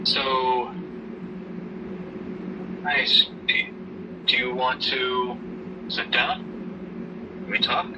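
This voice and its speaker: Father role male actor, audio drama: mid-pitched, unhurried and halting, concerned, protective, naturalistic, set back from the mic. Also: level tone, recorded from a distance, noisy background. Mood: gently probing. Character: caring, probing father, gently protective.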